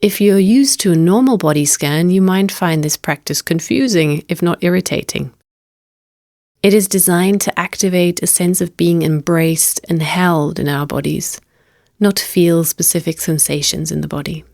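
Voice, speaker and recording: Woman guiding a meditation, slightly low voice. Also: vocal fry, dry recording, clean studio sound. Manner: gentle, unhurried, invitational. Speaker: meditation teacher. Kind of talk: guided meditation narration.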